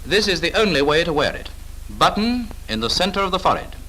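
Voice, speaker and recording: A British man narrates a wartime-era 1940s training film with clipped authority. His voice is bright and fairly high, swinging in pitch as he gives firm instruction. It has the sound of an old film soundtrack. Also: very animated, quick pace, rough recording.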